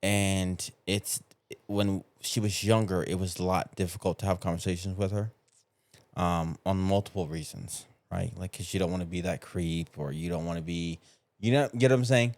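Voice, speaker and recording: Deep, smooth-voiced man, halting and reflective. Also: steady tone, darkish tone, dry recording. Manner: halting, reflective, searching for words. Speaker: podcast participant. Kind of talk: casual chat.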